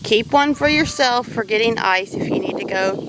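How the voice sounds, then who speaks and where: A woman's voice: on the high side, moderate pace, heavy background noise. A woman with a Southern accent talking casually and practically about her homestead while walking outdoors. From Louisiana. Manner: down-to-earth, folksy, practical. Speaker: homesteader vlogger. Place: outdoors on a farm.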